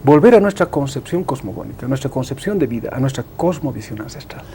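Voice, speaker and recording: A man's voice: medium pitch, lively intonation, quick pace, dark tone, a little hiss or hum. A man, an Aymara speaker, explaining things emphatically and didactically as an interview guest. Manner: emphatic, didactic, repeats and seeks agreement.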